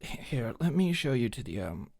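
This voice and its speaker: A young woman playing a tough but awkward, secretly tender delinquent girl in an intimate ASMR roleplay, speaking softly and hesitantly. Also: very deep voice, sing-song, normal speed, full character voice. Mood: relieved, awkward.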